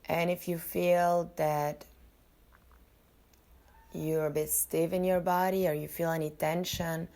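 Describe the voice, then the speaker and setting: Very slow, low-pitched woman guiding meditation. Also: flat delivery, mellow. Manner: soothing, unhurried, with long pauses between short phrases. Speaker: podcast host and yoga nidra guide.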